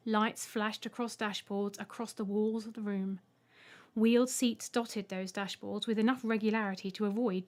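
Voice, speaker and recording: A woman's voice: medium pitch, a little mellow. A woman reading a scripted short story aloud as an audiobook narrator.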